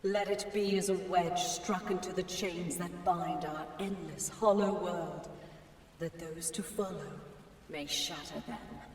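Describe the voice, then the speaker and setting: A man voicing a weary, cursed male being bound by an alien will, speaking slowly and solemnly in a game. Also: sits high, fairly even intonation. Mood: solemn brooding. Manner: slow, solemn, brooding. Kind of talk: game voice acting. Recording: echoey hall from far off, faint noise.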